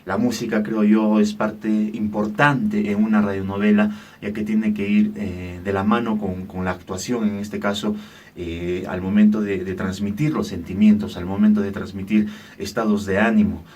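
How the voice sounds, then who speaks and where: A man giving a testimonial, halting and often repeating the openings of his phrases. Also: fairly low pitch, level tone, darkish tone. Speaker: composer for a radio drama. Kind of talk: testimonial talk. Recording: distant from the microphone.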